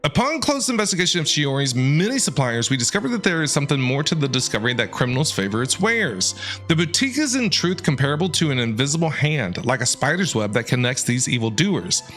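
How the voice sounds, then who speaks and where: A man's voice: mid-range voice, sing-song, fast talker, fairly bright tone, faint music behind. A man streamer reading game text aloud expressively and reacting with casual, slangy asides.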